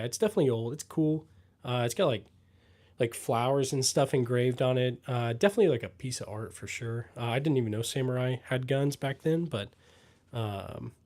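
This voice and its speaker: A young man from the US Midwest hosting a casual livestream, reading chat comments aloud and answering them with lots of fillers and pauses. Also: mid-range voice. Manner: relaxed, trailing fillers, pauses to read comments.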